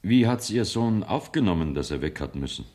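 Radio play: probing questioner, low voice, fast delivery. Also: male voice, sing-song, darkish tone. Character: probing, matter-of-fact male questioner. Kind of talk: 1970s German radio play acting.

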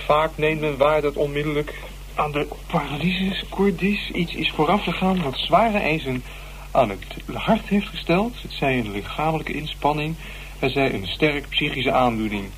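A man's voice: fairly high pitch, normal speed, fairly bright tone, close to an everyday voice. An actor in a Dutch radio drama playing a curious, bookish man with a musing, teasing and somewhat smug way of talking. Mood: studious. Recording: noisy, humming old radio recording.

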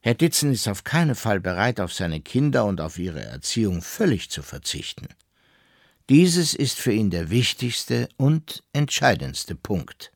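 A man's voice: on the low side, big pitch swings, a little slow, vocal fry, dry recording, studio quality, exaggerated voice. An actor playing a formal, insistent male lawyer, officious and declamatory, in a German radio play.

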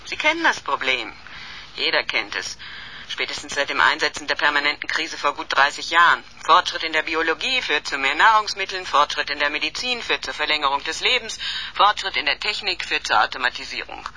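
A woman's voice: deep voice, sing-song, fairly quick, bright, forceful tone, slight background noise, exaggerated voice. An actress playing a cold, condescending female professor, the scheming villain of a radio sci-fi drama, speaking formally. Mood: coolly persuasive. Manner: formal, condescending, coolly clinical. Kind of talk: 1980s German radio sci-fi crime drama acting.

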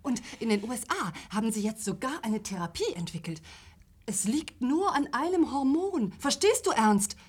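A woman's voice: on the high side, sing-song, normal speed, breathy edge. An actress playing a flustered, over-earnest middle-aged mother in a radio play, trying hard to sound tolerant and stumbling as she goes. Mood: eagerly reassuring.

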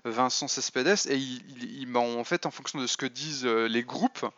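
A man's voice: mid-range voice, fairly even intonation, moderate pace, a bit bright, dry recording, muffled recording. A man giving a casual spoken essay as a podcast host, full of fillers and restarts. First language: French. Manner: hesitant, many fillers and restarts.

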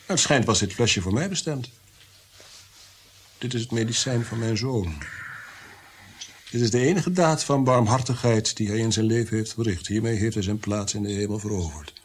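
An actor in a radio play playing a tormented, bitter, God-fearing prince-composer, brooding and theatrical, sometimes sinking to whispers. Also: male voice, on the low side, moderate pace, pauses often, a little hiss or hum, lightly acted. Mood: cold, suspicious.

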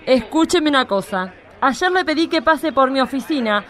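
A woman's voice: sits high, animated, moderate pace, fairly bright tone, a faint crowd. A woman playing a stern, exasperated female police chief in a radio drama. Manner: firm, scolding. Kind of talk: amateur radio drama acting.

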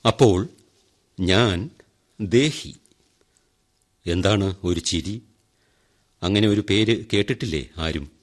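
A man's voice: deep voice, slow, long pauses. An actor playing a wry man who talks straight to the audience in a radio drama monologue. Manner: wry, chatty and teasing with the listeners. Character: ironic middle-aged man who donates a kidney. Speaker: monologue narrator in a radio play. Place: radio studio.

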